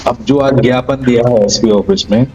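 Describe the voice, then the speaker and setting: A man's voice: mid-range voice, fairly even intonation, moderate pace, darkish tone, soft background music. A man working as a news reporter, putting questions to an interviewee. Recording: dull, band-limited sound.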